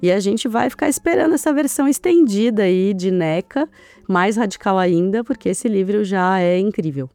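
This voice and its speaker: Warm friendly Brazilian woman host. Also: mid-range voice, lively intonation, normal speed, dry recording. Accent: Brazilian Portuguese. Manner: friendly and appreciative. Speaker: podcast host. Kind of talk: friendly interview hosting.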